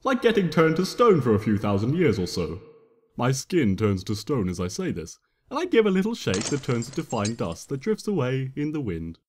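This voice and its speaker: A man's voice: mid-range voice, huge pitch swings, normal speed, darkish tone. A man reading a story dramatically in the first person, in a playful, theatrical trickster manner. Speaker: fan fiction reader and narrator. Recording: reverberant room with long echo.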